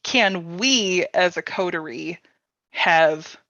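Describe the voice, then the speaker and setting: The recording is roomy and a little dull. A woman with a slightly low voice talks casually about tabletop games. She is informal and halting, thinking out loud as she goes. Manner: informal, halting, thinking aloud with many likes. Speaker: panelist at a tabletop roleplaying storytelling workshop.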